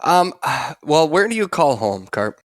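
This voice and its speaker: A man hosting a podcast, asking short, relaxed interview questions. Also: fairly high pitch, takes it slowly. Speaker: podcast host.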